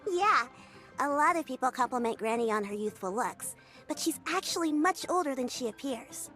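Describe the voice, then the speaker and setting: A woman's voice: high voice, animated, normal speed, faint music behind. A woman voicing a cheerful, polite young girl in a video game who looks after her grandmother. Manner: bright and upbeat, polite.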